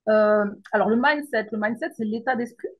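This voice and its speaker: A woman's voice: fairly high pitch, normal speed, slightly rough. A middle-aged woman from the Paris region, a guest coach, talking thoughtfully with many fillers and restarts. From France, Paris region. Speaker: guest, mindset coach and teacher. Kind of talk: remote interview conversation.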